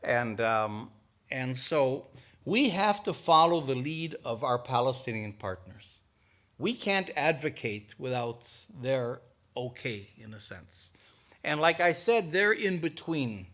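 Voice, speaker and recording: A man's voice: medium pitch, big pitch swings, slow, fairly bright tone. An older man, answering an audience question at a talk, hesitant at first with many restarts, then explaining earnestly. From United States. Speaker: guest speaker.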